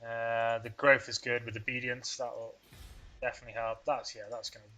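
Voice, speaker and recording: A man narrating his strategy game session in a loose, rambling commentary, talking his moves through out loud. His voice is bright and forward, sitting at an ordinary pitch, and he often pokes fun at himself. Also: little pitch movement, brisk, muffled recording, soft background music. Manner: rambling, thinks aloud, self-deprecating. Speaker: let's play host. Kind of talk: casual gameplay commentary.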